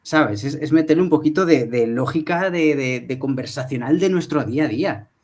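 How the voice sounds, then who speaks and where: A man's voice: a little high, moderate pace, slight background noise. Brief: man on a dubbing panel, emphatic, questions the audience. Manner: animated, emphatic, rhetorical questions. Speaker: dubbing professional. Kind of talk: panel talk at a professional meeting.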